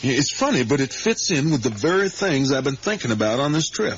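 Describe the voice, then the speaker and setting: A man's voice: mid-range voice, sing-song, fairly quick, natural voice. An actor in a 1980s radio drama playing a curious, easygoing, educated father with a genial, polite manner. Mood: interested, friendly. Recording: muffled, noisy 1980s radio drama recording.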